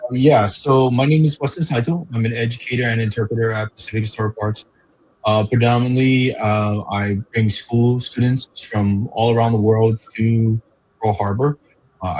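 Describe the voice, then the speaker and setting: This is a man from Hawaii talking as a guest in a relaxed interview. His voice is low and somewhat husky. He speaks in a friendly, easygoing way with frequent fillers. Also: normal speed, low-quality recording. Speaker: park educator guest. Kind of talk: casual interview chat.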